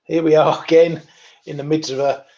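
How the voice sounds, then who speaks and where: A man hosting a casual markets show, relaxed and wry, with plenty of ums. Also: on the high side, normal speed, muffled recording.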